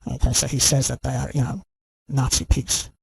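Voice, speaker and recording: A man's voice: mid-range voice, little pitch movement, normal speed, vocal fry, darkish tone, breathy edge, no room sound. A man delivering a rambling, scornful rant to his viewers, full of "you know" and "okay?". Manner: scornful and insistent. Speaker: channel host. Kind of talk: ranting monologue to camera.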